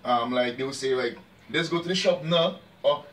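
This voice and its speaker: A man's voice: medium pitch, normal speed, fairly bright tone. A man from Dominica with a Jamaican-influenced Caribbean accent, a dancehall artist talking casually in an interview and often asking "you understand?". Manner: laid-back, repeats "you understand", dialect speech. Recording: roomy sound, distant mic, faint ambience.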